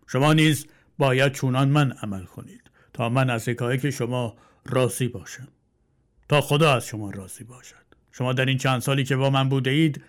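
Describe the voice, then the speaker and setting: A man voicing a kind older father who gently instructs his sons, calm and earnest. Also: mid-range voice, lightly acted.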